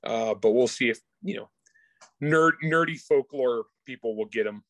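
A man's voice: medium pitch, normal speed, fairly bright tone, no echo. A man speaking as a guest author in a casual interview, informal and slangy, often restarting his sentences. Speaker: guest author discussing own book.

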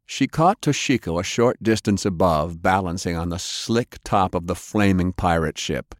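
A man with a low voice reads action fiction as an audiobook. His narration is dramatic and scripted, and the sound is dry, with hardly any room around it. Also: normal speed, no echo.

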